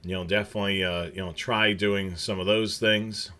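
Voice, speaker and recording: A man's voice: sits low, fairly even intonation, normal speed, bright, forceful tone. A man hosting a casual livestream chat, rambling with many fillers and restarts. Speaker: scanner show host.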